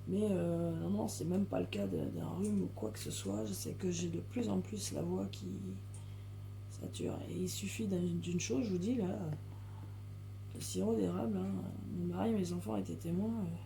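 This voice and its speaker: Hoarse French woman chatting, gravelly voice. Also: fairly low pitch, expressive, normal speed, mellow, slight background noise. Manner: rambling with many hesitations and restarts. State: hoarse, strained voice. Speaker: coloring channel host. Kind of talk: casual chat to viewers while coloring.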